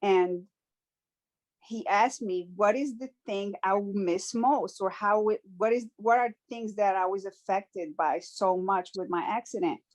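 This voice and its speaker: Earnest woman telling her story. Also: medium pitch. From Morocco. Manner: earnest and reflective, halting with frequent restarts. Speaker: guest speaker sharing her story. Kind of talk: conversational personal testimony.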